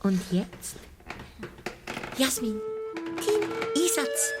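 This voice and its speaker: A woman's voice: sits high, very animated, very slow, over loud music, heavily acted. A woman with a Swiss German accent playing a cheeky, gluttonous, indignant church mouse in a children's radio play, exclaiming theatrically. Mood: greedy, conspiratorial. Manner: exclamatory, theatrical, piling up colourful words. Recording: long reverb of a big hall.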